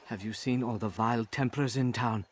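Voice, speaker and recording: A man's voice: medium pitch, moderate pace, a little hiss or hum, lightly acted. Man as a reverent, eager assassin informant. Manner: reverent, flattering, formal.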